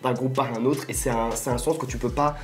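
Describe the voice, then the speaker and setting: A man's voice: medium pitch, steady tone, fast talker, music in the background. A man of about twenty explaining his views earnestly in a casual group discussion. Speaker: student panelist in a discussion.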